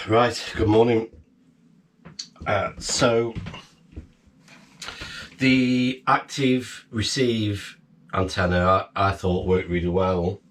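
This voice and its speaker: A middle-aged man chatting casually and informally to camera, explaining things in a halting way. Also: fairly low pitch, steady tone, a bit bright. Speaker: vlogger, amateur radio hobbyist. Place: small wooden cabin, indoors. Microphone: camera a few metres away. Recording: distant camera mic, noisy room.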